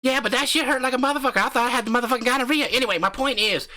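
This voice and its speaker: A man voicing a loud, crude, profane husband who hunts ghosts with his wife, swaggering and brash. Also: very high voice, very fast, pitched above the performer's own voice, heavily acted. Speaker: comedic character.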